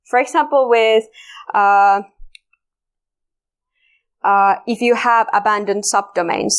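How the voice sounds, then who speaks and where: A woman with a Finnish accent presents at a security conference. Her pitch sits in the middle range for a woman, and she talks in a loose, conversational way, pausing on fillers and restarting her sentences. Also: steady tone, clean tone. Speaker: conference speaker. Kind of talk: technical conference talk. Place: conference room.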